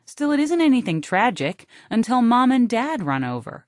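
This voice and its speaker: A woman with an American accent reads a literary first-person audiobook in a wry, deadpan manner, close on the microphone. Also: on the high side, moderate pace, no room sound. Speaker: audiobook narrator.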